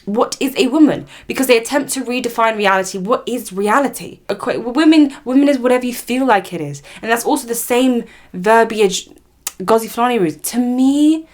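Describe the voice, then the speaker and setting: A woman's voice: a little high, normal speed, darkish tone. Woman vlogger ranting, sarcastic, mocks people with little put-on voices. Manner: sarcastic, mocking, often puts on voices to parody others. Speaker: commentary vlogger. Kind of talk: opinionated commentary monologue.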